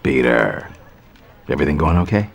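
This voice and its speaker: Fatherly game editor, middle-aged, low husky voice, lively intonation, easygoing, reassuring. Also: normal speed, heavily acted. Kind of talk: video game cutscene acting.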